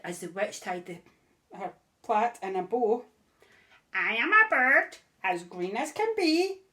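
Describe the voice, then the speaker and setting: Slow, expressive woman reading aloud. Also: fairly high pitch. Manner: rhythmic, expressive read-aloud. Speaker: storybook reader. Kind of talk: reading a picture book aloud.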